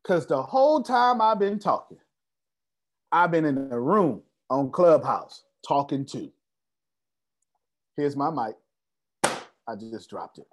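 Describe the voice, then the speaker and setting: Male business coach, bragging and preachy on a video call, high voice that swings a lot. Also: sing-song, takes it slowly, pauses often. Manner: boastful, preachy, calls on attendees by name. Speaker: business coach hosting an online meeting. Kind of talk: animated motivational group call.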